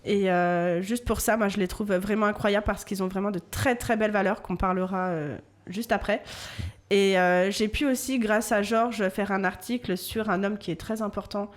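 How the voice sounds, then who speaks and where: Co-host: woman, mid-pitched voice, halting and fumbling for words. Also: steady tone. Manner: hesitant, searches for words. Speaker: podcast host and journalist. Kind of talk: casual radio chat. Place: radio studio.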